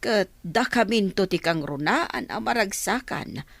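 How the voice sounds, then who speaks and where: A woman's voice: mid-range voice, animated, measured pace, vocal fry. A woman playing an encouraging, expectant aunt in a radio drama, speaking with an Ilocano accent.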